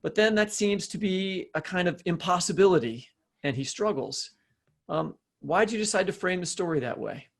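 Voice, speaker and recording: Higher-pitched American man hosting a podcast. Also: moderate pace, gravelly. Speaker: podcast co-host, interviewer. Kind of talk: conversational podcast interview.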